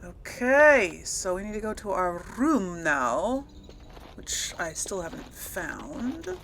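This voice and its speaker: A woman's voice: lowish voice, sing-song, slow, creaky, fairly bright tone, slight background noise. A woman hosting a casual role-play game playthrough, thinking aloud and hesitating as she goes. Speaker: video game player and series host.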